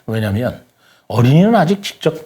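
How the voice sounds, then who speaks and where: An older man speaking as an interview guest, giving his opinions earnestly in a deliberate, formal and polite way. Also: medium pitch, very animated, dark tone.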